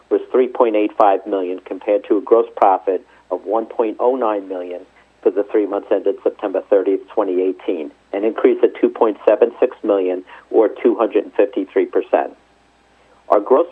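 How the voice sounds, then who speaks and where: Guy with a low husky voice reading company results all formal over a tinny phone line. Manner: formal, reading figures methodically. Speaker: company finance executive presenting results. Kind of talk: scripted earnings report read aloud. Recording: muffled narrow phone conference line.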